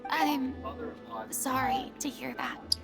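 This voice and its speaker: An actress voicing a proud yet wistful young former star in a video game, with a dramatic, expressive and flighty way of talking. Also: female voice, sits high, slow pace, creaky, full character voice. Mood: wistful, subdued. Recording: loud background music.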